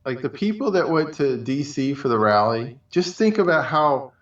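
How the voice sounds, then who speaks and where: Man, talk show co-host, mid-pitched voice, casual halting rambling delivery, dull sound, set back from the mic. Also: lively intonation, fairly bright tone. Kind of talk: casual chat. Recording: roomy, distant, dull microphone.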